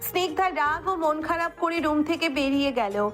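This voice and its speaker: A woman tells a scripted story as narrator. Her voice is notably high, and soft music runs underneath. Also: moderate pace.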